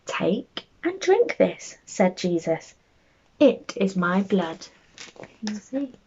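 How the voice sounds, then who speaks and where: A woman reads a children's bedtime story in a husky, breathy voice at an unhurried pace. She is gentle and expressive, speaking straight to her young listeners. The recording is slightly dull and lo-fi. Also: mid-range voice. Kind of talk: children's bedtime story read aloud with friendly chat.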